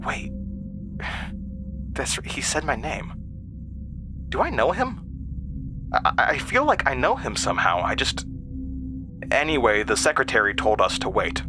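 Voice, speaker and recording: A man's voice: medium pitch, big pitch swings, measured pace, long pauses, over music. An actor playing an uneasy man who recounts childhood memories in a confiding, hesitant audio drama monologue. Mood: confused, unsettled.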